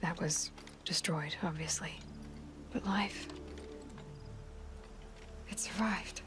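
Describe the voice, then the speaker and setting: An actress playing a grieving, resolute young outcast hunter in a video game, in a soft, breathy voice with halting, intimate phrasing. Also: female voice, on the low side. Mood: hushed, reflective. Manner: halting, hushed, speaking in short reflective phrases. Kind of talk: naturalistic video game acting, intimate monologue. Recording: music behind the voice. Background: game music.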